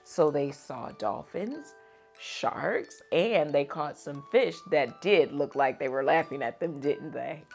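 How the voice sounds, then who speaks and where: Lively, low-pitched young woman reading to children. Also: slow pace, creaky, faint music behind. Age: young adult. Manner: teacherly, addressing young children with questions. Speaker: children's read-aloud host. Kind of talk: expressive storybook read-aloud and chat to children. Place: small furnished room indoors.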